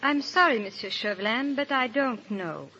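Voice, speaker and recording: A woman's voice: a little high, animated, normal speed, sharp tone. An actress playing a proud, anxious aristocratic lady in a 1930s radio drama, formal and earnest in a theatrical way. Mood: defiant. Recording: dull, noisy 1930s radio recording.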